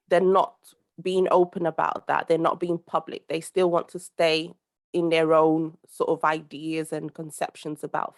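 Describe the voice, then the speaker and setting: Earnest young British-based woman, low voice. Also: creaky, darkish tone. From United Kingdom. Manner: earnest, rambling with many fillers and restarts. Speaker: podcast guest, social commentator. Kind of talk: conversational interview over a call.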